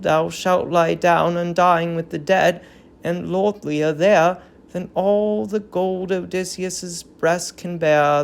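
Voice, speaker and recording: A woman's voice: lowish voice, creaky, darkish tone. A woman playing a grieving, dignified old queen in a Greek tragedy reading, with solemn, declamatory verse delivery. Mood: bitter mourning. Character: grieving old queen mourning her dead grandchild, bitter, dignified.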